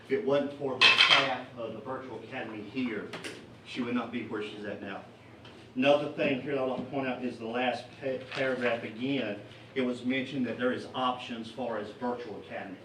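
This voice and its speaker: A middle-aged man, a parent, giving public comment at a school board meeting, earnest and halting with many fillers. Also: mid-range voice, steady tone, bright, forceful tone. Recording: echoey meeting room, distant mic, noisy.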